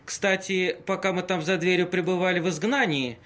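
An actor playing the courtly ghost of Casanova in an audio play, speaking in florid, pompous, old-fashioned polite phrases. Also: male voice, high voice, steady tone, moderate pace, a bit bright. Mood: aggrieved, disdainful. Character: courtly, wordy ghost of Casanova inhabiting a tramp. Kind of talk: amateur audio play acting.

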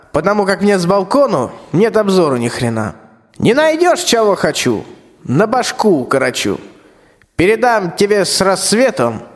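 A man acting a high-pitched, blustering old tsar. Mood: imperious, threatening. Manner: pompous, colloquial rhymed speech. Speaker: actor in a radio play. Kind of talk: rhymed fairy-tale radio play. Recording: long hall reverb, music behind the voice.

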